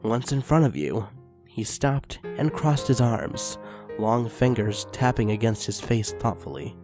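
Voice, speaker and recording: A man narrating a fan fiction story aloud in a steady, expressive reading voice. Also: mid-range voice, a little slow, music in the background.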